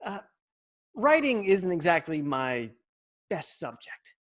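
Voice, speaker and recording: A woman's voice: fairly low pitch, very animated, slow pace, full character voice. A woman playing a cocky, eager ten-year-old boy in a comedic table read. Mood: reluctant. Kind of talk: comedic table read over video call.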